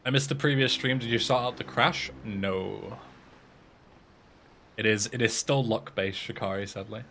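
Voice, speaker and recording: A man's voice: mid-range voice, moderate pace, fairly bright tone, slight background noise. A young man in his twenties with an English accent, chatting casually on a livestream and answering viewers' messages aloud. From England. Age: 20s. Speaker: streamer and speedrunner.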